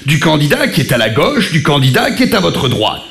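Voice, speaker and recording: A man puts on a gravelly, smoke-worn announcer voice for a parody game show, deadpan and solemn. The voice swings widely in pitch. It was recorded in an echoey room with heavy ambient noise underneath. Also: fairly quick. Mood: mock solemn. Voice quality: smoky, gravelly. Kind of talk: comedy sketch.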